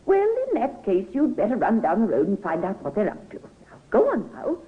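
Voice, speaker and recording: An actress playing a fussy, imperious elderly mistress of the house in a vintage radio drama. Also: female voice, medium pitch, wildly swooping pitch, moderate pace. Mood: bossy, scolding. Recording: muffled old radio recording.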